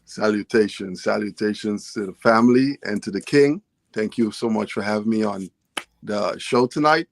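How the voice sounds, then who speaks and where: A man's voice: on the low side, moderate pace, vocal fry, dry recording. A man from Guyana, a guest author and poet, telling his story in a casual interview in an earnest, storytelling way.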